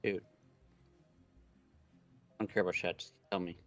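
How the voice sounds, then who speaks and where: Casting: male stream co-host, deeper voice, loose joking delivery over quiet music. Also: steady tone, moderate pace. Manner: joking, sweary, needling. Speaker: stream co-host reading the game's lines aloud. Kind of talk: casual banter and reading aloud from a visual novel. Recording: music playing behind the voice.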